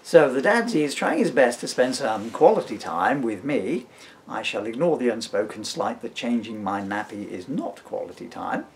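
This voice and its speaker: A man's voice: mid-range voice, big pitch swings, normal speed, gravelly. British man, rambling and playful, noisy recording. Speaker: father, author and stage performer. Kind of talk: casual chat and reading own comic book aloud. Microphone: camera mic.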